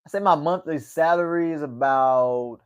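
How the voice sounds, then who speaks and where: A man's voice: a little high, moderate pace, clean tone. Rambling young man on a livestream. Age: 20s. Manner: rambling, repeats words, says "man" a lot. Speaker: livestream host. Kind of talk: casual livestream chat.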